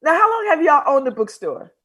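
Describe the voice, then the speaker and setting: A woman hosting a casual interview show, chatty and quick to laugh as she asks questions. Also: sits high, very animated, sharp tone. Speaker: talk show host.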